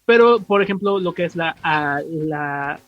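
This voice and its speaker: A man's voice: on the high side, animated, dry recording, dull audio, soft background music. A man with a Mexican accent explaining comics lore in a casual, slangy podcast chat, often restarting and correcting himself. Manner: informal, slangy, halting with self-corrections. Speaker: podcast co-host.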